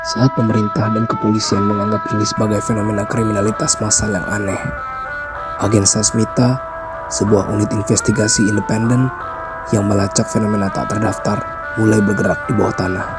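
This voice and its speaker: A man's voice: fairly low pitch, level tone, brisk. A man reading scripted narration for an analog horror documentary-style story. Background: loud music.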